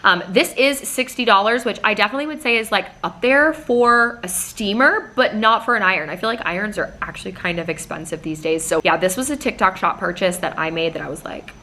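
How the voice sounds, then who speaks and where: A woman's voice: medium pitch, brisk, hardly any pauses, sharp tone. A woman in her thirties, slightly nasal from a recent cold, chatting enthusiastically through product recommendations with lots of casual fillers. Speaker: shopping deals vlogger.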